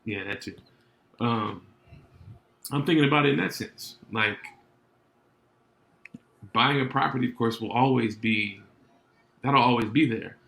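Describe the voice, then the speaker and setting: A man's voice: medium pitch, a little slow, gravelly, long pauses, fairly bright tone. A man co-hosting a podcast in casual chat, easygoing and teasing his co-host. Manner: easygoing, teasing, laughs often. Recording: roomy echo.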